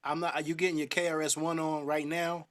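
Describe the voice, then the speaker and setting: A man's voice: on the high side, level tone, a little fast. A man joking and laughing during a casual group discussion. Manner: jokey, laughing. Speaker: panelist.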